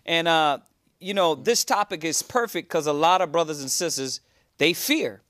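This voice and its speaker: A man's voice: on the high side, moderate pace, fairly bright tone, no room sound, clean studio sound. A man teaching a religious class on a cable show, earnest and repetitive in his preaching.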